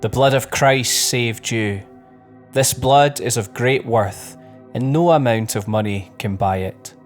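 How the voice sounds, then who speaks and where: A man's voice: mid-range voice, slow, soft background music. A man giving a formal reading of Bible scripture. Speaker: Bible reader.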